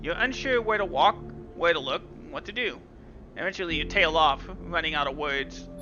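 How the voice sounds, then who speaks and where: A man's voice: on the high side, moderate pace, sharp tone. A man hosting a gaming video, reading game text dramatically with casual asides. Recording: dull lo-fi sound with game music.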